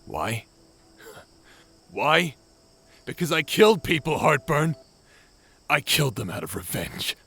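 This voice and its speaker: A man playing a guilt-ridden, grieving ex space pirate in an audio drama, speaking haltingly with heavy pauses. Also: on the high side, very animated, creaky, pushed and bright, slightly rough, full character voice. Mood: anguished, furious. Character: grief-stricken, guilt-ridden male ex space pirate brother. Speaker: voice actor in an audio roleplay.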